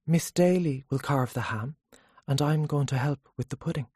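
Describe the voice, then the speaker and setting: A narrator voicing an eager, mildly teasing wife with a lively, coaxing manner, in an audiobook story. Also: mid-range voice, moderate pace, husky, no room sound, studio quality, close to an everyday voice. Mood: matter-of-fact.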